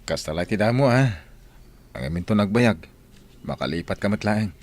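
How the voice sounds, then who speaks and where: A man's voice: fairly low pitch, expressive, normal speed, darkish tone. An actor playing an eager, tender man preparing for a journey in an Ilocano radio drama. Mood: soothing.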